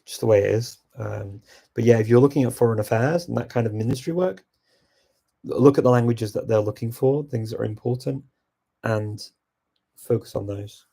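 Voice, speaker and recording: Male host, husky and mellow, relaxed chat-style advice to viewers. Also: medium pitch, moderate pace. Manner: relaxed, reflective advice-giving. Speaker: host answering viewer questions. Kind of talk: casual live Q&A chat.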